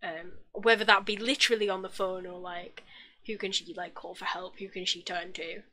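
Young woman, reaction host, bright gushing chatter, desk mic at home. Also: lowish voice, flat delivery, moderate pace, a little hiss or hum. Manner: chatty, gushing, self-correcting restarts. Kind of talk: casual reaction commentary. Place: small home room indoors. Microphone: desk microphone, wearing headphones.

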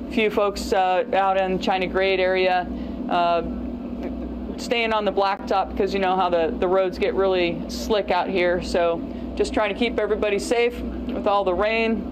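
A middle-aged American woman giving a plainspoken operational briefing as a section chief, with hesitant pauses. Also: mid-range voice. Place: briefing spot in front of a wall map. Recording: clear background noise, vehicle sounds.

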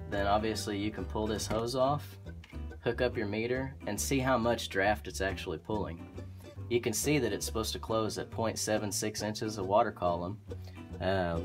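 Low-voiced Oklahoma furnace technician. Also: male voice. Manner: relaxed and practical, many "um"s and restarts. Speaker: HVAC technician. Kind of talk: instructional how-to explanation. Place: indoor utility closet by a furnace.

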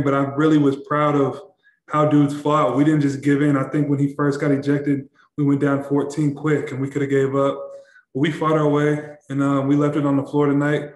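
Young athlete at a presser, slightly high voice, flat delivery, stumbles and repeats himself, echoey room. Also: male voice. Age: young adult. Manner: halting, repeats words, many fillers. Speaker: basketball player. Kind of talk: postgame press conference answers. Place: press room in front of a backdrop.

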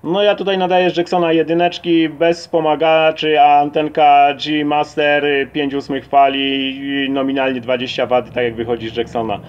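A man's voice: on the high side, flat delivery, normal speed, few pauses. A man chatting casually as an amateur CB radio operator during a radio contact. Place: at a CB radio set. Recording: dull lo-fi audio, faint radio noise.

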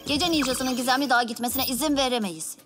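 Woman doing a bossy brave cat hero boy, high voice, cartoon dub. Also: fast, over loud music, close to an everyday voice. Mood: determined. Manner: eager, commanding. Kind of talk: cartoon dubbing.

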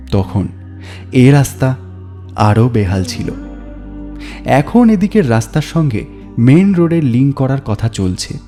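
A man narrating a story in a measured, atmospheric, scripted style with dramatic pauses. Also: mid-range voice, mellow.

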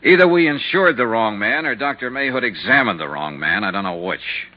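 An American actor playing a brisk, insistent insurance investigator in a 1950s radio detective drama, with a clipped, hard-boiled delivery. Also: male voice, on the low side, expressive. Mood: determined. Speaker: lead actor and narrator. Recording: dull, muffled 1950s radio recording.

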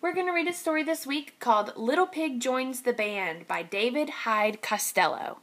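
A woman reading a storybook aloud as a teacher, speaking deliberately to young children. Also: high-pitched, a bit bright. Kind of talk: storybook read-aloud narration.

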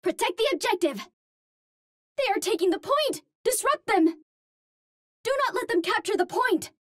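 Juno, girlish high-pitched Martian heroine. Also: animated, normal speed, dry recording, studio quality. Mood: urgent, determined. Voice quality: girlish, light. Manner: precise, formal wording without slang, earnest. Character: Juno, cute young Martian space heroine, earnest and eager. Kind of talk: video game hero voice lines.

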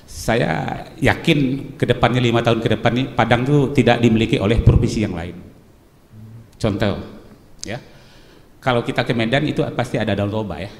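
A middle-aged man from West Sumatra, a hotel director explaining his hotel on a studio talk show, pausing often with filler sounds, speaking into a handheld mic. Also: mid-range voice. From Pesisir Selatan, West Sumatra. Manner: hesitant, many filler pauses, explanatory. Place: television studio set. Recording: roomy studio with long reverb, faint noise.

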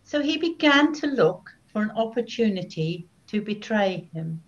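An older woman reads scripture as a solemn narrator. Her pace is slow and deliberate, with expressive rises and falls. The webcam recording is a little dull. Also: mid-range voice. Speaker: narrator (evangelist). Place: small room at home.

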